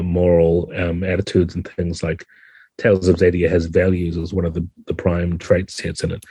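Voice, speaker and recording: Low, husky man explaining games. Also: warm tone, no room sound. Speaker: game designer guest. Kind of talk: explanatory podcast interview talk.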